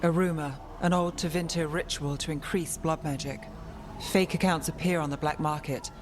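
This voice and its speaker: An actress playing a guarded, world-weary detective in a fantasy video game, speaking in a wry, clipped, restrained way. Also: female voice, deep voice, fairly even intonation, normal speed, lightly acted. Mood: wry, measured. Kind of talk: video game cutscene acting.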